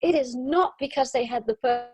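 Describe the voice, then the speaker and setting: A European woman in an earnest, expressive conversation about spirituality, often laughing as she talks, on a video call. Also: high voice, normal speed, breathy edge. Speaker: summit guest.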